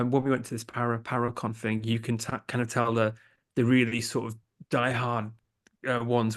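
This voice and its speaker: A young man with an English accent, a podcast producer chatting as a guest in a casual interview, hesitant, with many fillers and restarts. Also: mid-range voice. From England.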